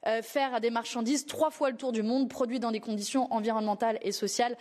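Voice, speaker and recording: A fairly high-voiced woman in her thirties answers reporters from a podium microphone in a press briefing room. She argues her point combatively, trailing into fillers and restarting phrases as she thinks. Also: steady tone, fast talker, darkish tone. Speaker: party group leader at a press briefing.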